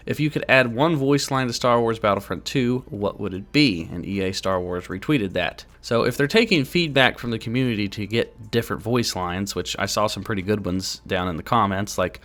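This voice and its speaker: Low-voiced man giving gaming news. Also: fairly bright tone. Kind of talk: casual gaming news commentary.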